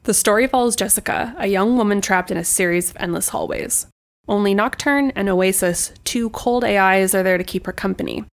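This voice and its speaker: A woman's voice: medium pitch, fairly quick, gravelly. Chatty woman hosting a podcast. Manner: informal, playful, conversational. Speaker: podcast host. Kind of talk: chatty scripted podcast recommendation.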